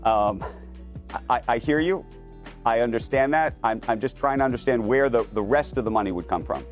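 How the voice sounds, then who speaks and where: A man's voice: mid-range voice, moderate pace, faint music behind. A man interviewing on live business TV, pressing his questions with stumbling restarts, heard as a TV clip. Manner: hesitant but pressing questions.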